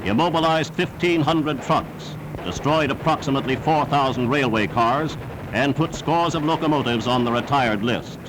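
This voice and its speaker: A man's voice: medium pitch, moderate pace, background noise. A man narrating a 1940s wartime newsreel in a formal, declamatory scripted style. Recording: 1940s newsreel soundtrack with music.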